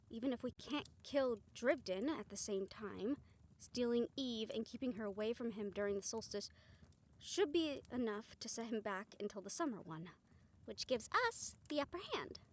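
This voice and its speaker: A driven woman who plans for a supernatural team, acted by a woman in an audio roleplay. Her voice sits on the high side, and she talks in a conversational, affectionate way. She is caring and given to teasing. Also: lightly acted. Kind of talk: scripted audio roleplay acting.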